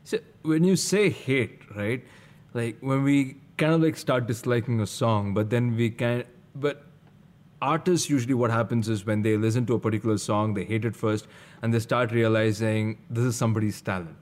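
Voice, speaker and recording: A man's voice: mid-range voice, moderate pace, a little mellow. A man in his thirties hosting a casual podcast interview, agreeing often and chatting easily. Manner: affirming, lots of back-channel agreement. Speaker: podcast host.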